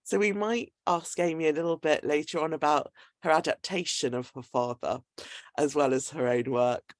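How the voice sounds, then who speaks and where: A woman's voice: sits low, moderate pace, no room sound, clean studio sound. A British woman from Manchester, speaking as a host and giving an introduction at an online talk. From Manchester, England.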